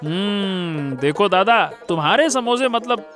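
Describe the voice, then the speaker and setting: Man acting a sharp, high-voiced village man. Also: animated, measured pace, soft background music. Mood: approving. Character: forceful, loyal village man backing his friend. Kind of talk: Hindi moral-story cartoon voice acting.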